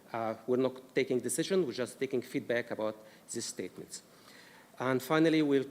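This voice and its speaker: A man's voice: medium pitch, creaky. A man from Egypt with an Egyptian accent, presenting a risk management workshop to a council, explaining carefully with frequent "uh" fillers. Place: council meeting room. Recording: distant mic in a reverberant room with noise.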